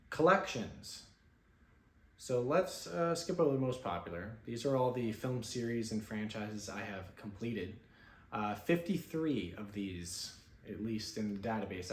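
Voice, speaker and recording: A man casually talking through his film-watching stats with an offhand, relaxed manner and plenty of fillers, recording at home in his apartment. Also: fairly low pitch, reverberant, slight background noise. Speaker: film vlogger. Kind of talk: casual screen-share commentary.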